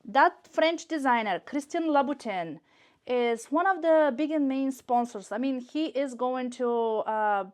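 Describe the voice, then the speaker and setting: A woman's voice: high-pitched, animated, unhurried, a little mellow. A woman with a Bulgarian accent, native in Bulgarian, chatting casually to camera as a shopping vlogger, gushing and repeating words for emphasis. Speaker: YouTube shopping and unboxing vlogger.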